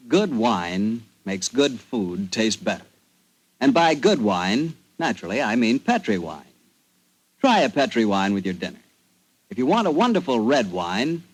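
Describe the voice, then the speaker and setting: A man's voice: medium pitch, low-quality recording. An American man delivers a 1940s sponsor announcement. He is polished and persuasive. His intonation swings widely as he sells. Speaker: radio announcer.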